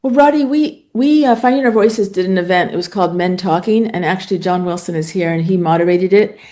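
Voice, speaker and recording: A woman's voice: fairly low pitch, a little fast, dark tone. A woman hosting a book club over a video call, speaking conversationally as she recounts a past event.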